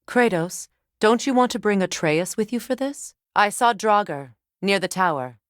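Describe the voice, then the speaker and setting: An actress playing a proud, wise warrior sorceress in a video game, with measured, formal delivery and dry wit. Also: female voice, lowish voice, no echo. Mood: measured, watchful. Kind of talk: video game character dialogue.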